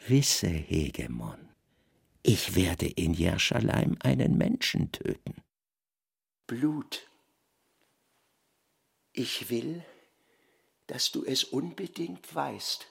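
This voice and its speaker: A man's voice: mid-range voice, sing-song, slow, long pauses, heavily acted. A man acting a fervent, vengeful male disciple in a radio drama, whispering bitterly with menacing intensity. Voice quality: whispery, breathy hush.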